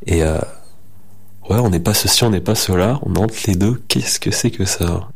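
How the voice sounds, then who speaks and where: Very low-voiced man, slow and deliberate. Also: gravelly, very noisy. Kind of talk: reflective testimony.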